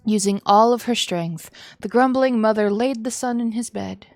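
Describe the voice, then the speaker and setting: A woman streamer reading a visual novel aloud as expressive, dramatic narration. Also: on the high side, moderate pace, dry recording. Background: music.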